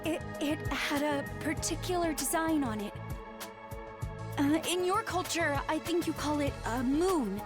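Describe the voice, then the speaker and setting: A woman's voice: high-pitched, a little slow. An actress playing a foreign girl in a video game, explaining something hesitantly. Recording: game audio under clear music.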